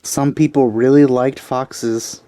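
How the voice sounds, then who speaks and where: A man's voice: mid-range voice, steady tone, slow pace, dark tone, no room sound. A man giving casual let's play commentary in a rambling, hesitant way, often correcting himself, close to the microphone.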